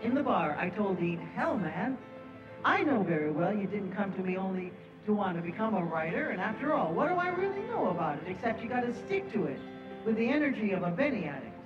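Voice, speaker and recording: A woman's voice: medium pitch, very animated, fairly quick, over music. A woman giving an impassioned dramatic recitation of literary prose. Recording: echoey, dull lo-fi sound.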